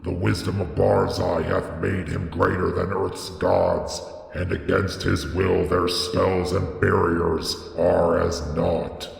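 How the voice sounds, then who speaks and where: Man playing arrogant mad prophet, gothic horror tale, raised gravelly shouting, grandiose declaiming, long cavernous reverb. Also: sits low, flat delivery, slow pace, few pauses, heavily acted. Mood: exultant. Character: Barzai, arrogant old prophet crying out in mad ecstasy. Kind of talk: dramatic gothic horror storytelling. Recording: echoey hall with long reverb.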